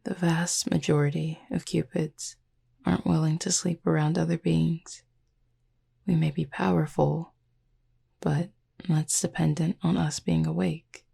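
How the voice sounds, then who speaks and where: Breathy, gravelly, whispering cupid played by a woman. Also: sits low, little pitch movement, heavily acted. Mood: aloof, gently teasing. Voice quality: breathy, husky half-whisper. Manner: measured, formal, gently teasing. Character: calm, proud, softly caring cupid roommate lulling someone to sleep. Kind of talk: whispered roleplay sleep-aid audio.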